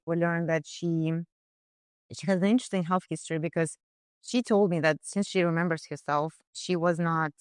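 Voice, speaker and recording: Halting woman nutritionist, smooth clear voice. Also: on the low side, no room sound, clean studio sound. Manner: hesitant, many fillers and restarts. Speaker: nutritionist guest. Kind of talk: conversational case discussion.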